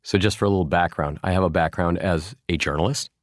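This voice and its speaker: Deep-voiced man in his forties, thoughtful. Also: fast, no room sound, clean studio sound. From Chicago, US. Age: 40s. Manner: thoughtful, many restarts and "you know" fillers. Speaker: guest, former journalist turned food entrepreneur. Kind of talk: casual interview conversation.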